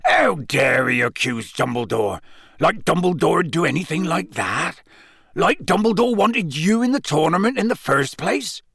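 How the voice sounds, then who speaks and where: A man's voice: high-pitched, animated, normal speed, a bit bright, husky, dry recording, studio quality, pitched above the performer's own voice, heavily acted. A man voicing a huge, hot-tempered, loyal giant of a man, growling in blunt rustic speech for an audiobook. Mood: angry, indignant. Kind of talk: audiobook character acting.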